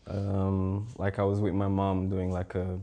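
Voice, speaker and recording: Young guy from portugal, really low flat voice, mellow, rambles with lots of ums. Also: measured pace. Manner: relaxed, rambling, many fillers. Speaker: visual artist, panel guest. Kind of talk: casual conversational talk.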